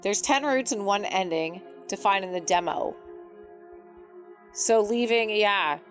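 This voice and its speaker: A woman's voice: mid-range voice, unhurried. A woman game streamer giving casual live commentary, chatting and thinking aloud as she plays. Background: soft game music.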